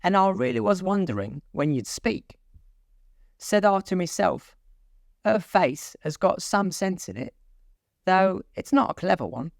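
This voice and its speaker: Storybook: a haughty, sharp-tongued female rose, high-pitched, voiced by a male reader. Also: big pitch swings, measured pace, vocal fry, no echo, clean studio sound, full character voice. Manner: haughty, critical.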